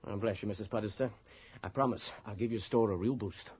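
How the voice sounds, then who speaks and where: Husky, persistent city reporter. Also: male voice, lowish voice, fairly quick. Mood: eager. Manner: courteous, ingratiating. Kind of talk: 1980s radio drama acting. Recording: muffled old radio broadcast.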